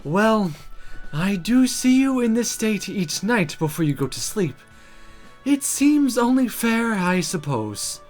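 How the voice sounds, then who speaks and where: A streamer as a stern, measured woman magic teacher. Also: medium pitch, very animated, a little mellow, soft background music, voice pushed up. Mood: dry, reluctant. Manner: formal, measured, exasperated. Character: stern but secretly fond adult female magic teacher.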